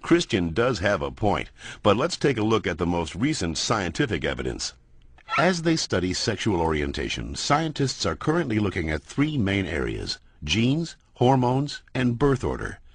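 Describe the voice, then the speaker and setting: A man's voice: on the low side, wildly swooping pitch, moderate pace, slightly rough. A man narrating an educational cartoon in a wry, conversational explainer style.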